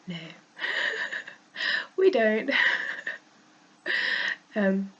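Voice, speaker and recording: A woman with a cold and a croaky voice, frankly venting in a casual vlog and laughing often. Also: medium pitch, big pitch swings, drawn-out words, a bit bright, muffled recording. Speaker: vlogger.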